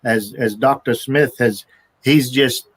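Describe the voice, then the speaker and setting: A man's voice: medium pitch, steady tone, takes it slowly, slightly rough. A man who is half Iraqi and half American hosts a studio discussion, speaking politely and adding brief agreeing remarks. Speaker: host.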